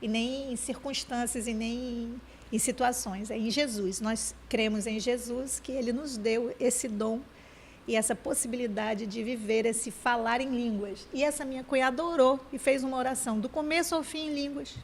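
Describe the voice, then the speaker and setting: High-voiced woman talking fast with restarts. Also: steady tone, slight background noise. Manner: fast, searching speech with many restarts. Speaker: program co-host.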